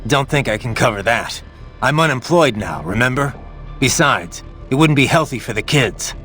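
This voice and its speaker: An actor playing a grim, weary, duty-bound cyborg soldier in a video game, with a gravelly voice and brooding, terse manner. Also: male voice, medium pitch, lively intonation, moderate pace, fairly bright tone, soft background music, close to an everyday voice. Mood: serious, wry.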